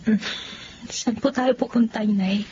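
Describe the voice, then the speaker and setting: A Filipino actress playing a wronged young wife and daughter in a radio drama, aggrieved and tremulous with emotion. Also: female voice, on the high side, a little slow, dark tone, lo-fi sound, slight background noise, natural voice. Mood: listless.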